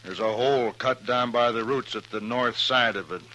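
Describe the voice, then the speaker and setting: Actor as a hard, hot-tempered rancher, forceful bright voice. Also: male voice, medium pitch, normal speed, close to an everyday voice. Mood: grim. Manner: blunt, forceful, folksy Western speech. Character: hard, hot-tempered Texas rancher father, stubborn and straight. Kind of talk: 1950s radio western acting. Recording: noisy old 1950s radio recording.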